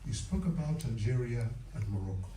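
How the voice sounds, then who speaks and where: A man's voice: medium pitch, lively intonation, brisk, dark tone. A man with a Ugandan accent, a journalist putting formal, wordy questions at a press conference. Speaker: radio journalist asking questions. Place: large indoor press conference room. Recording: muffled, echoey room, distant, faint noise.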